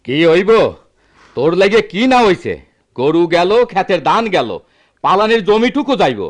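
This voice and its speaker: A man's voice: high-pitched, very animated, moderate pace. A man acting an anguished, poor village father in a radio drama, lamenting in a rural Bangladeshi accent. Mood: angry despair. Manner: emotional, lamenting rustic speech.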